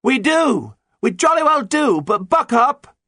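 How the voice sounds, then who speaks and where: A bold girl pirate captain played by a man talks with urgent, impatient gusto in a storybook. The voice is pushed high and swings about in pitch. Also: big pitch swings, a little fast, creaky, breathy edge, dry recording, clean studio sound, exaggerated voice. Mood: shouting impatiently. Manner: exclamatory, urgent, jolly slang. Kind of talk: audiobook character dialogue.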